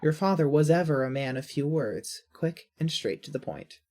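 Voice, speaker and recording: A woman's voice: deep voice, expressive, normal speed, warm tone. A woman reading scripted second-person story narration.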